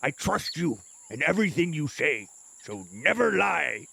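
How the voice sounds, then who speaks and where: A man playing a slow, gravelly, solemn healer. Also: a little high, big pitch swings, bright, forceful tone, no echo, exaggerated voice. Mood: grateful. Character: earnest grateful male shield-bearing healer.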